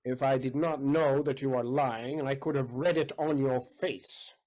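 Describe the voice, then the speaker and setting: A man's voice: mid-range voice, steady tone, measured pace, a little mellow, close to an everyday voice. A man acting a jealous, imperious count in a comic period play, haughty and theatrical. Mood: stern. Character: jealous, imperious count, suspicious of his servant.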